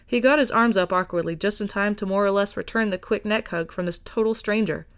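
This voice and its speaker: A woman's voice: medium pitch, fairly quick. A woman reading a story aloud as scripted narration. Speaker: podfic reader.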